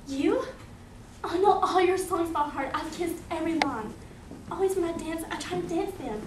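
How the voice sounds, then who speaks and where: A woman's voice: very high voice, normal speed. A young woman playing an adoring, romantic dancer in an amateur stage play, earnest and pleading. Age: young adult. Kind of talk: theatrical stage acting. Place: indoor theatre stage. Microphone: distant audience camera. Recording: echoey noisy theatre stage from far off.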